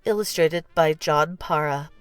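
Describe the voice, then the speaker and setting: A woman narrating a children's storybook in an expressive, deliberate read-aloud style. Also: low-pitched, clear, resonant tone, dry recording, soft background music. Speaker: storybook reader.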